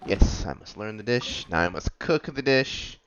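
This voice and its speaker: A man's voice: on the low side, expressive, normal speed, fairly bright tone. A man doing casual game playthrough commentary, muttering dryly to himself, slightly dull sound with game music behind. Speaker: video game player and commentator.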